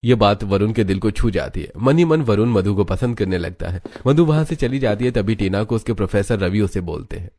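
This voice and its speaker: A man's voice: on the low side, lively intonation, a little fast, hardly any pauses, dark tone. A man narrating a cartoon story in Hindi from a script.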